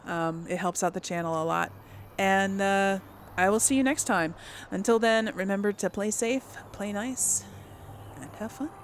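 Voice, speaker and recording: A woman's voice: medium pitch, normal speed, a little hiss or hum. A woman giving chatty, informal let's play commentary as a gaming channel host, talking directly to her viewers.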